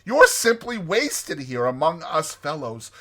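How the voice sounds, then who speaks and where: A man's voice: on the high side, big pitch swings, measured pace, a bit bright, voice pushed up, heavily acted. A man voicing a naive, fussy male mole from a storybook, wordy and exclamatory in his delivery. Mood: amazed, admiring. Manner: wordy, indignant or gushing, exclamatory. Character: naive, fussy male mole, pettish yet easily amazed.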